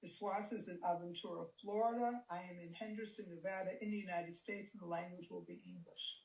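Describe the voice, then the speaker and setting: A woman interviewer asking polite, measured, scripted questions over a video call. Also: lowish voice, steady tone, echoey room, recorded from a distance, rough recording.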